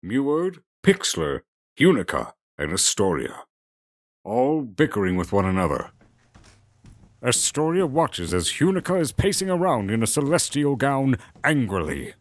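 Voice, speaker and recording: A man's voice: medium pitch, huge pitch swings, unhurried, no room sound. A man narrating a scripted fantasy audio drama in the present tense.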